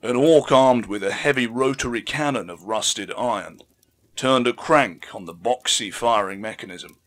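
A man narrating an audiobook story in a measured, theatrical way, with emphatic swells. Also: medium pitch, fairly bright tone. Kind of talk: dramatic scripted story narration.

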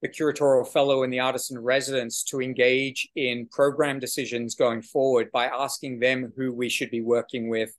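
A man in his forties, the director of an arts venue, answering a question on a video call in a measured, slightly halting way. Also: medium pitch, level tone. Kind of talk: panel conversation on a video call. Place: small room with brick wall. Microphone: video call webcam.

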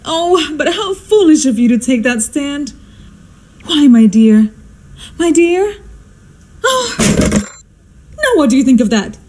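A woman's voice: high-pitched, big pitch swings, slow pace, pauses often, darkish tone, full character voice. A woman playing a histrionic society hostess and mother in a radio drama, speaking in a flustered, theatrical, exclamatory way. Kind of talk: stagey radio drama acting.

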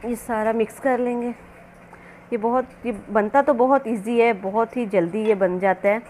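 A woman's voice: fairly high pitch, steady tone, unhurried, a little mellow. A woman narrating a recipe casually as a home cooking host, instructional and often repeating herself. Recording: noisy amateur home recording.

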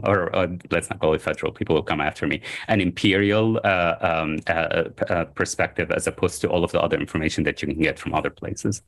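A man's voice: low voice, fairly bright tone. A man in his forties whose first language is Arabic, answering interview questions over a video call in a rambling way, with many fillers, self-corrections and chuckles. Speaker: historian guest. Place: home room by a window.

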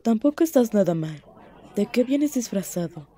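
A woman's voice: a little high, expressive, moderate pace, mellow, a faint crowd, natural voice. A woman with a Latin American accent voicing a cool, elegant female cat, dry and teasing, in a cartoon dub. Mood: amused teasing.

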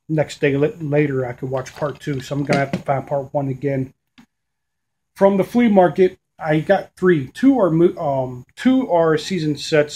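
A man's voice: on the high side, darkish tone, husky. A man in his thirties casually showing off his DVD finds at home, rambling with fillers and restarts, recorded on a webcam. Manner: rambling, with frequent fillers and self-corrections. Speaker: DVD collector vlogger. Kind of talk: casual video update. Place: small room at home, indoors.

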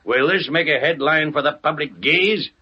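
An actor playing an impatient city editor in old-time American radio style, barking slangy lines theatrically. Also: male voice, fairly high pitch, normal speed, husky. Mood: gleeful. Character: brash, excitable, impatient newspaper city editor. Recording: muffled vintage radio recording.

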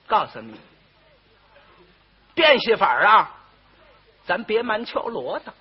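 A stubborn, mocking second brother from a comic story, acted out by a man with a Beijing accent. He stretches words with scorn in a high, rasping voice, on a dull old recording. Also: very animated, full character voice. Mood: defiant. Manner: mocking, drawn-out, defiant.

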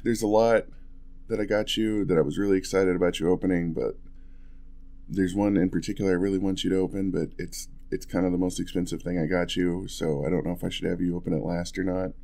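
A man playing an affectionate, playful young husband in an ASMR roleplay, talking softly and tenderly in a casual, hesitant way. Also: sits low, level tone, fast, gravelly. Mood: sheepish, affectionate. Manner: soft, hesitant, chuckling, tender. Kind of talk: ASMR boyfriend roleplay, intimate casual chat.

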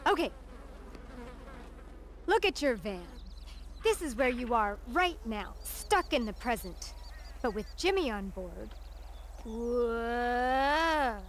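An actress playing a serene, earnest, spaced-out cult believer in a game cutscene, speaking in a dreamy, measured way. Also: female voice, on the high side, very animated, slight background noise. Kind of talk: video game cutscene acting.

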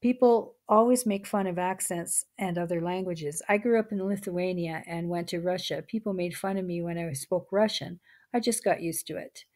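A woman, the author, reading her nonfiction book aloud at a steady, measured pace. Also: fairly low pitch.

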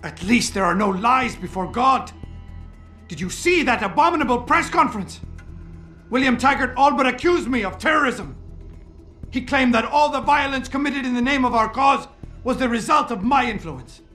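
An actor playing a guilt-ridden, betrayed middle-aged surgeon in a video game, with a formal, anguished delivery. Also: male voice, very high-pitched, expressive, moderate pace, bright, forceful tone, faint music behind, exaggerated voice. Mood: indignant anguish. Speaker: former surgeon. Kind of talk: video game cutscene acting.

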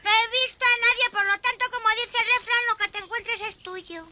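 Very high-pitched little bee girl. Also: brisk, crowd noise. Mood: cheerful. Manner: childlike, earnest, expressive. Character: small, earnest, plucky young female bee. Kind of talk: dubbed children's cartoon acting. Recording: loud music behind the voice.

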